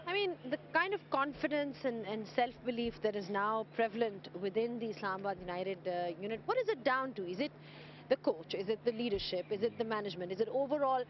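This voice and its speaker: A woman presenting a pitch-side cricket interview, asking long, measured questions. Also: expressive, a little hiss or hum. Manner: measured, scripted-sounding, drawn-out questions. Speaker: sports presenter. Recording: slightly dull outdoor broadcast audio.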